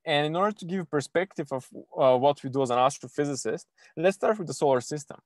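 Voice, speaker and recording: A man's voice: a little high, expressive, darkish tone, no room sound, clean studio sound. A young man from Bulgaria, a PhD student in astrophysics, giving an informal online science lesson to kids, full of restarts and fillers. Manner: halting, many restarts and fillers, eager.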